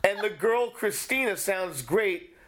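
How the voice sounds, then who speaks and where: A man's voice: high voice, animated, slow pace, fairly bright tone. Nasal man reading listener mail. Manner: dry, joking asides while reading. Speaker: podcast co-host.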